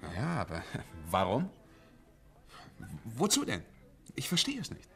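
An actor playing a fretful, easily worked-up young bank clerk in a 1950s radio drama. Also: male voice, on the low side, wildly swooping pitch, a bit bright, soft background music, heavily acted. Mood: worried. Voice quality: fretful and quick to get worked up.

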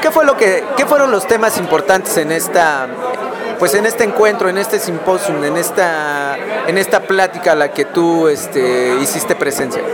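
A man's voice: high voice, expressive, moderate pace. A Mexican man hosting a casual radio interview, putting informal, rambling questions to his guest. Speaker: interviewer, show host. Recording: music and crowd noise behind.

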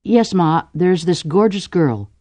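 Casting: arch, meddling matchmaker mother for comic audiobook scene, high pitch, swooping delivery woman narrator performing. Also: animated, takes it slowly, dark tone, dry recording. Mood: arch, nagging. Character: tittering, meddling matchmaking mother, mock-sweet.

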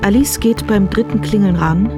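A woman reading scripted drama narration in a measured, unhurried manner. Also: medium pitch, loud music behind the voice. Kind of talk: scripted present-tense narration.

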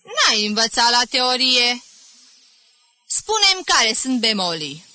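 A woman voicing a small, irritable male music teacher around fifty who shouts insults at his pupils and mocks them. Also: on the high side, sing-song, dry recording, voice pushed up, exaggerated voice. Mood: mocking. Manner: shouting insults, mocking and ironic.

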